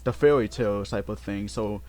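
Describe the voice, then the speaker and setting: A man giving casual, unscripted game commentary in a halting way, often repeating and correcting himself as he goes. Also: on the low side, a little mellow. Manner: halting, repetitive, self-correcting. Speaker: let's play host. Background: game music.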